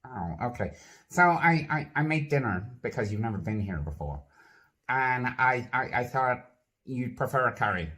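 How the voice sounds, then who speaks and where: A performer voicing a nosy, clumsy sock puppet host with a lively, bouncing delivery. It pries with awkward, stammering questions and trails off into nervous fillers when things go wrong. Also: mid-range voice, moderate pace, lightly acted. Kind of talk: comedy puppet sketch.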